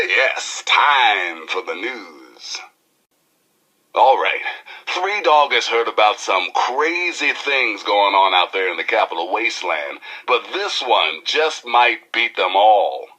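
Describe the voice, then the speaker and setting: An actor playing a hip, irreverent post-apocalyptic radio DJ with flamboyant, slangy, playful patter. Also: male voice, medium pitch, bright, forceful tone, heavily acted. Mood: wry. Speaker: radio disc jockey.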